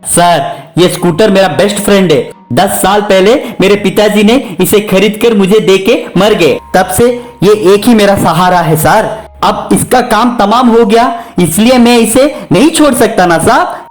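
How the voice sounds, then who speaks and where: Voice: mid-range voice, unhurried, soft background music, lightly acted. A storyteller voicing a poor, sentimental working man who talks in an earnest, pleading way in a cartoon story.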